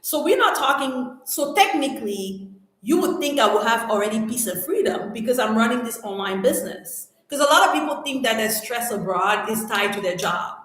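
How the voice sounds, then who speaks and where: A middle-aged woman from Cameroon whose first language is French hosts a casual live Q&A. She talks in an animated, emphatic way with slangy exclamations, and her voice sits a little high. The sound is heavily echoey, as if she is in a bare room. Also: moderate pace. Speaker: livestream host answering viewer questions.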